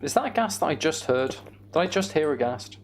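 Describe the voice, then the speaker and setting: A man's voice: a little high, sing-song, a little fast, slight background noise. A British man giving casual video game commentary, thinking aloud as he plays. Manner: thinking aloud, mild swearing. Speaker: gamer narrating own play.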